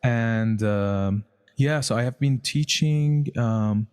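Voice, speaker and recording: A man, a composer and music teacher, speaking English as a learned language in a relaxed interview, often pausing to find his words. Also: medium pitch, slight background noise. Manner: thoughtful, searches for words in a second language. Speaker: composer and music educator, podcast guest. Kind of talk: casual conversation.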